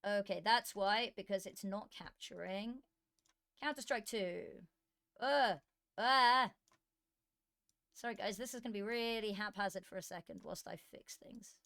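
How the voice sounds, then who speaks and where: A woman's voice: medium pitch, measured pace, fairly bright tone. Woman streamer with a tired, worn voice, talking casually and muttering to herself as she sets up. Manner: muttering to herself between chatty asides. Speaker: esports streamer and commentator. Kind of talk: casual live-stream chat while setting up. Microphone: desk microphone.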